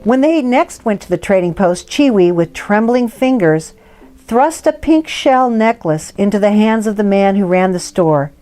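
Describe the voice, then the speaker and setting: A woman's voice: mid-range voice, expressive, normal speed, mellow. A woman reading a scripted story aloud as a storyteller.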